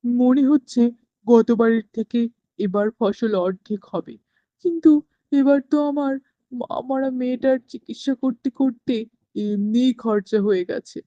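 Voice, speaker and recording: A woman's voice: on the high side, a little slow, dark tone, no room sound, natural voice. A woman voicing a poor, worried widowed farmer father who pleads for help in a cartoon. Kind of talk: cartoon voice acting. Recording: dull lo-fi dry dubbing.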